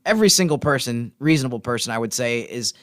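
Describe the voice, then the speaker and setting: A man with a clear, mid-pitched voice co-hosts a casual sports discussion. The sound is dry and close, with no room around it. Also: animated, quick pace, a bit bright, no room sound. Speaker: podcast co-host, sports reporter.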